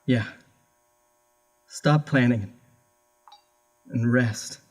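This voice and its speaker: A man's voice: medium pitch, drawn-out words, low-quality recording. A man playing a weary, submissive young adult son in a horror radio drama, subdued and resigned. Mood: resigned, tender.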